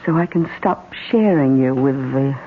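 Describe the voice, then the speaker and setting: A woman's voice: a bass voice, normal speed. An actress playing a cold-blooded, greedy and coquettish woman accomplice in an old radio drama. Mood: coy, coolly sinister. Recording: tinny, hissy old radio recording.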